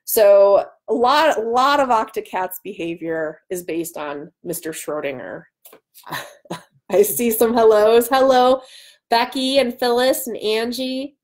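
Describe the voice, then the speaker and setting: A woman's voice: on the high side, a little slow. Woman, thirties, livestream host, chatty, playful, casual talk, webcam in a small room. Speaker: author hosting a livestream. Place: small room at home, indoors. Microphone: webcam at arm's length.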